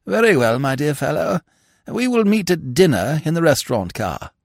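A man's voice: fairly high pitch, very animated, moderate pace, gravelly, no echo, clean studio sound, voice pushed up. A man voicing an amiable, vague older English lord in an audiobook, chatty and rambling in short genial remarks. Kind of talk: audiobook character voice.

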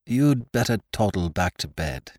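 A man voicing a jovial, glib upper-class gentleman who chatters in chummy slang. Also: on the low side, very animated, unhurried, dry recording, clean studio sound. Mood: breezy.

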